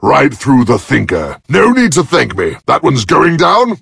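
A man playing a cocky, trigger-happy robot soldier in a video game, with a gruff, gravelly voice and a brash, wisecracking manner. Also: mid-range voice, wildly swooping pitch, unhurried, a bit bright, no room sound, heavily acted. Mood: cocky, gloating.

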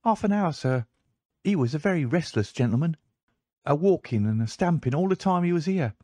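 An eager young servant boy acted out by a male narrator in an audiobook. The voice is high and chatty, full of servile deference, with working-class turns of phrase. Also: animated, fairly quick, warm tone, no echo. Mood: eager, deferential. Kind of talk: audiobook character voice.

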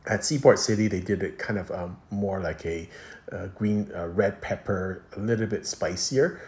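A man's voice: deep voice, normal speed, darkish tone. A man giving a casual food review voice-over in a rambling, hesitant, explanatory way.

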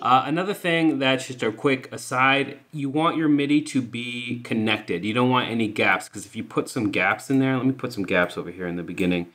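A man hosting a music software tutorial, explaining informally and thinking aloud as he goes. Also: medium pitch, fairly quick, hardly any pauses. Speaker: music production tutorial host.

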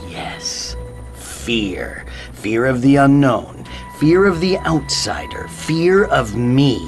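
An actor playing a coldly menacing tyrant in an audio drama, speaking deliberately and grandly with dramatic pauses. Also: male voice, medium pitch, sing-song, faint music behind. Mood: cold menace. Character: ruthless male tyrant ruling by fear, coldly menacing. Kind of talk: audio drama villain monologue.

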